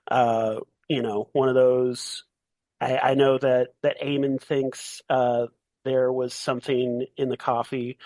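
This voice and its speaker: A man's voice: medium pitch, no room sound. A man running a tabletop game as game master, narrating in a casual, playful, conversational way.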